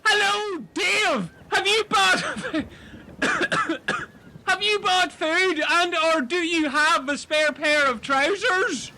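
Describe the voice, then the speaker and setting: A man's voice: very high voice, slow, sharp tone, slight background noise, pitched above the performer's own voice, full character voice. A man doing a whiny eager young castaway. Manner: whiny, pleading.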